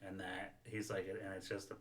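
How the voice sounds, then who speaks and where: A man in casual conversation, relaying things in a halting, hesitant way. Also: low-pitched, flat delivery, a bit bright, slight background noise. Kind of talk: casual chat, relaying anecdotes.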